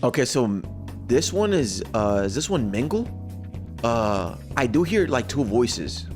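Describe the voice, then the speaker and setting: A man giving casual, slangy reaction commentary as a streamer, conversational and quick to exclaim. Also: medium pitch, big pitch swings, over music. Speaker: streamer reacting to game voice lines.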